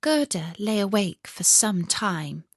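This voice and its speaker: A woman storyteller narrating a scripted fairy tale in a measured, expressive way. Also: medium pitch, no echo, clean studio sound.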